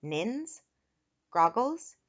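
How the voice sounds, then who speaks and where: A woman voicing an excitable, easily startled boy hero in a storybook, speaking in exclamatory bursts. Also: sits high, measured pace, pitched above the performer's own voice. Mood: startled, amazed. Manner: exclamatory, gasping out short startled phrases. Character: excitable, frightened boy adventurer, bewildered and loud.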